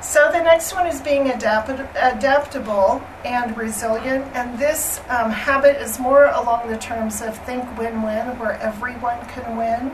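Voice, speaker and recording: Hoarse woman presenting, heard from afar. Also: a little high, unhurried, hardly any pauses. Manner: friendly, chatty, frequent ums and throat clearing. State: losing her voice, hoarse. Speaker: elementary school staff presenter. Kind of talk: slide presentation at a school board meeting. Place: school board meeting room. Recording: distant room mic with steady noise.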